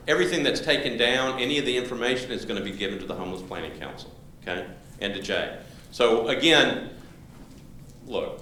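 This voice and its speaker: A man's voice: mid-range voice, pushed and bright. A man facilitating a public meeting, talking informally and restarting his sentences. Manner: informal, conversational, with frequent restarts. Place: large room at a public library. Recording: echoey hall, faint room noise.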